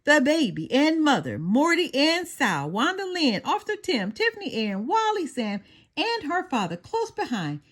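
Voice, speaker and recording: Woman, children's read-aloud narrator. High voice with very lively, expressive delivery. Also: normal speed. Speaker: storyteller reading a children's picture book aloud.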